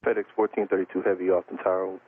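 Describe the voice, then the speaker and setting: Male air traffic controller, deep and fast, procedural and matter-of-fact, heard through a tinny radio link. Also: little pitch movement. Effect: aviation radio. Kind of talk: clipped procedural radio instructions. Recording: narrow tinny radio transmission, faint static.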